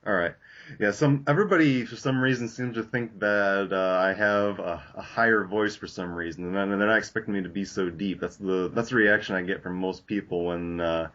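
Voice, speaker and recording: Halting low-voiced man on a lo-fi podcast. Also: fairly bright tone. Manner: halting, many fillers and restarts. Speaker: podcast host. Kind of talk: casual podcast discussion. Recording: lo-fi dull audio.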